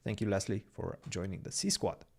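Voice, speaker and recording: Low gravelly-voiced man, casual chat. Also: animated, brisk, a little mellow. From Romania. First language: Romanian. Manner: chatty, answers viewer questions, thinks aloud over moves. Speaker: chess podcast co-host reading chat. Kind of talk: casual livestream chat with game commentary.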